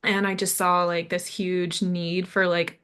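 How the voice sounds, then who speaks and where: A young woman on a video call, pitching her app in a casual chat, eager and full of fillers like "like". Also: little pitch movement, unhurried, fairly bright tone, clear, resonant tone. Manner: eager, filler-heavy, lots of "like".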